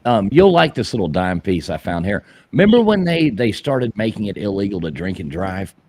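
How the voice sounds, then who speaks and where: A man's voice: fairly low pitch, very animated, brisk, darkish tone, no room sound. A man teasing and bantering wryly in a casual live chat.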